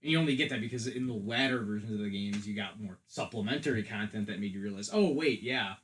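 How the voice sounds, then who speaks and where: A man's voice: lowish voice, fast talker, fairly bright tone, a little hiss or hum. A man talking casually as a podcast panelist, giving opinions and often repeating words as he thinks aloud.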